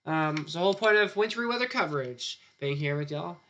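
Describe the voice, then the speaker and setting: Hoarse-throated weatherman, bright voice. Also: a little high, expressive, a little fast, highs cut off. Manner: loose, rambling, repeats "again" often. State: throat sore, starting to lose his voice. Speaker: chief forecaster. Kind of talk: live weather stream commentary. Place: weather center studio.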